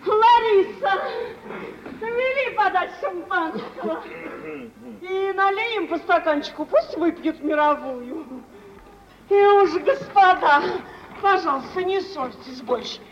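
A woman's voice: extremely high pitch, expressive, slow, exaggerated voice. An actress playing a middle-aged, effusive society mother who coaxes and placates, in old Russian stage style. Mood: bustling, conciliatory. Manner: effusive, coaxing, theatrical. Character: fussy, hospitable society mother, placating and ingratiating. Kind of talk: 1940s Russian stage acting for radio. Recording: muffled, noisy mid-century radio play recording.

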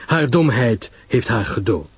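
A man voicing a shrewd, courteous, self-assured detective in an audiobook story, husky, deliberate and emphatic in explaining. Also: mid-range voice, lively intonation, no room sound. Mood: measured, regretful.

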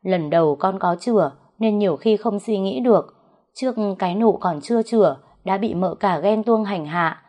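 A woman's voice: medium pitch, moderate pace, darkish tone, natural voice. A woman voicing a scheming young pregnant woman who whines, stammers and pleads tearfully, in a story narration. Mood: pleading. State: crying.

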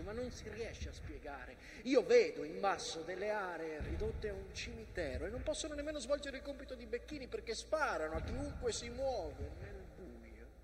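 An actor playing an intense narrator of trench combat, in theatrical storytelling that moves between hush and declamation. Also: male voice, high-pitched. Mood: hushed suspense. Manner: swings from hushed whispers to loud declamation. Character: intense dramatic narrator of First World War trench combat. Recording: clear background noise, stage ambience.

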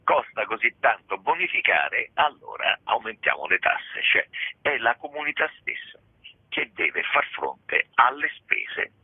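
A man's voice: on the low side, slightly rough, dry recording. A man, a theatre director and actor, talking as a radio interview guest and searching thoughtfully for his words as he explains. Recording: tinny phone line.

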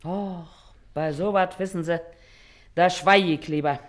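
A woman's voice: on the low side, steady tone, a little slow, lightly acted. Actress playing a sly, folksy washerwoman. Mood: chatty, sly. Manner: chatty, sly, plain-spoken. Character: shrewd, folksy working-class washerwoman, blunt yet slyly deferential. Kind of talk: classic German radio play acting.

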